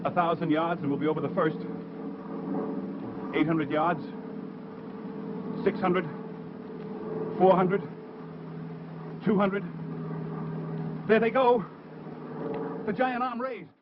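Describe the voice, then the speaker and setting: An actor playing a tense war reporter counting down an attack in old radio drama style, with clipped, urgent delivery. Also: male voice, high-pitched, fairly even intonation, very slow, pauses often. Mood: urgent, alarmed. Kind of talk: 1930s radio drama acting. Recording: muffled, noisy vintage radio broadcast.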